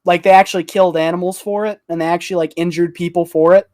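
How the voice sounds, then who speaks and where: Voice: mid-range voice, steady tone, fast, darkish tone, no room sound, studio quality. A person chatting casually on a group podcast, telling things in a slangy, joking way. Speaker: podcast panelist.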